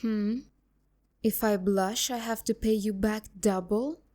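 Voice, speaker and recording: A woman's voice: mid-range voice, steady tone, takes it slowly, mellow, natural voice. A woman playing a haughty, seductive demon courtesan in a romantic ASMR roleplay, speaking to the listener with condescending, teasing bite. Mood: taunting, smug. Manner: condescending, teasing, biting insults.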